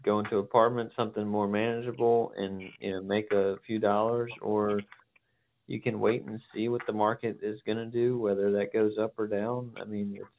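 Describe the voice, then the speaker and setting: Blunt man pitching numbers over the phone. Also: level tone, normal speed. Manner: blunt, persuasive, matter-of-fact about numbers. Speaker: property buyer or agent. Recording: tinny phone line with faint noise.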